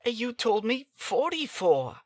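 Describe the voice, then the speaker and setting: A man's voice: very high voice, very animated, slow pace, no room sound, clean studio sound, full character voice. A man playing a nervous, defensive young doctor in a dramatic audiobook, hesitant and protesting. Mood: frightened, protesting.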